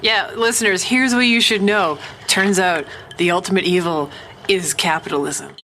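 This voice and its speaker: An actress plays a tipsy young-sounding woman with a bright, forward voice, wry and teasing as she talks to her listeners in a found-footage horror drama. There is some background noise around her. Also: on the low side, steady tone, moderate pace.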